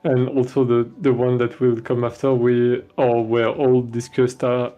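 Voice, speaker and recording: A man's voice: medium pitch, monotone, measured pace, muffled recording. A man with a French accent, a game developer chatting casually on a stream, hesitating often with many fillers and restarts. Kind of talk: casual dev stream chat.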